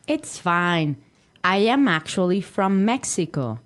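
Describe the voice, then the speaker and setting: A woman's voice: on the low side, big pitch swings, slow pace, fairly bright tone, exaggerated voice. A woman playing a friendly young Mexican businesswoman riding in a taxi, carefully enunciated for a language lesson. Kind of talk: acted language-lesson dialogue.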